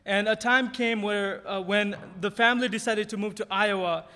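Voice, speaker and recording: A man shares his story from a church pulpit. His voice sits high for a man, and it rings out with a long reverb through the big sanctuary. He speaks earnestly, with touches of humor and frequent restarts. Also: steady tone, normal speed, sharp tone. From Nepal. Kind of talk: personal testimony from a pulpit. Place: large church sanctuary stage. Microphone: podium microphone.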